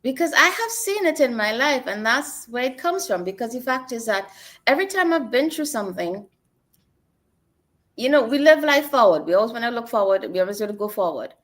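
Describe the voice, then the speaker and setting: A woman's voice: a little high, big pitch swings, a little fast, fairly bright tone. Woman, middle-aged, from Trinidad and Tobago, earnest, reflective storytelling. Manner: earnest, personal storytelling with repeated phrases. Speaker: guest. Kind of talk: reflective interview talk.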